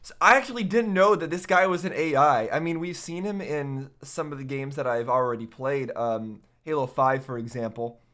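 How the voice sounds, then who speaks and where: A man giving casual reaction commentary in a halting, musing way. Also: medium pitch, a bit bright. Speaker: reaction video host.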